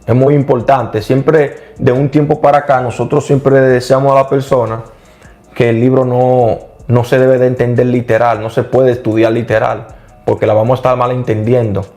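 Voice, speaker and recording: A man's voice: mid-range voice, little pitch movement, normal speed, darkish tone, soft background music. Earnest middle-aged man explaining, with stumbles. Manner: earnest and explanatory, often agreeing with stumbles and restarts. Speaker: co-host of a Bible study channel. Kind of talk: casual religious discussion.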